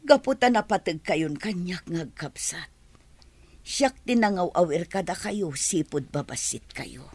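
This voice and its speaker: Husky, meek servant girl. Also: fairly low pitch, animated, measured pace, a little mellow, no room sound, exaggerated voice. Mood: hurt, pleading. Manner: pleading, deferential. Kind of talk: radio drama acting.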